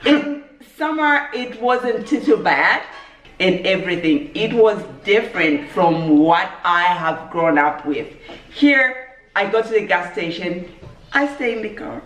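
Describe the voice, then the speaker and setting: A woman from Zambia, chatting casually on her vlog in a relaxed, measured way and laughing easily. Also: lowish voice. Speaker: vlogger. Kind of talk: casual chat. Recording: echoey room, mic at a distance.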